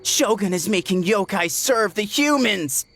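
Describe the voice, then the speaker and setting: A woman voicing an agitated, childish and defiant young tanuki creature in an animated game, stammering and indignant in short exclamations. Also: mid-range voice, a little slow, faint music behind. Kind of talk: animated game cutscene acting.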